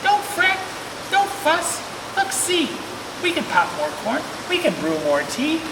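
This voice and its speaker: Woman putting on a squeaky tiny storybook mouse. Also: wildly swooping pitch, measured pace, heavily acted. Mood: soothing. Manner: squeaking, short clipped phrases. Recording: echoey hall, distant mic, loud hiss.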